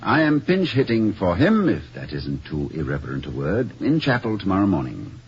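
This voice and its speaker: Erudite, low-voiced old-radio college president. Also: male voice, big pitch swings, moderate pace. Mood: wry, playful. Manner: formal, wordy, gently wry. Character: kindly, erudite, slightly pompous college president, devoted husband. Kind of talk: old-time radio comedy-drama acting. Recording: muffled, noisy old radio recording.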